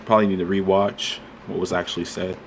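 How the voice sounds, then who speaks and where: A man's voice: low voice, flat delivery, quick pace, slight background noise. A man moderating a voice-chat debate, hesitant and full of fillers as he clarifies the arguments.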